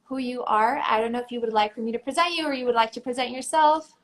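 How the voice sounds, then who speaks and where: A woman's voice: fairly high pitch, fast. A young woman from Mexico, a Spanish speaker, hosting a live video chat in English, friendly and enthusiastic. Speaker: pageant titleholder, live stream host.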